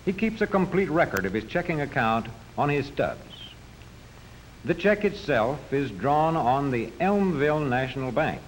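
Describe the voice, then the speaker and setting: Animated 1940s male educational film narrator. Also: medium pitch. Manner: didactic, clearly explanatory. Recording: noisy 1940s film soundtrack.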